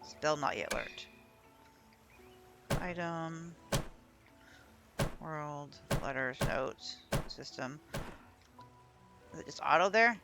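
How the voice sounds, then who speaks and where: A woman's voice: low voice, extremely slow, long pauses, loud music behind the voice. A woman giving casual commentary as she plays a video game, thinking aloud and reading out menu items. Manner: thinks aloud while playing, reads menus. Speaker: gameplay streamer.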